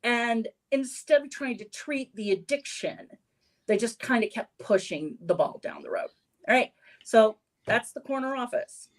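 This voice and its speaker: A woman's voice: on the high side, expressive, a little slow, poor audio. A woman panelist in a casual football chat who talks candidly and laughs mid-sentence.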